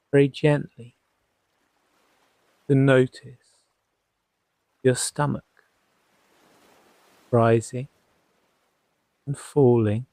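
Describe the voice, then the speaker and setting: A man guiding a sleep hypnosis session as a hypnotherapist, soothing and gently reassuring, leaving long pauses between short phrases. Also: medium pitch, vocal fry, no room sound. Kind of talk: guided sleep hypnosis narration.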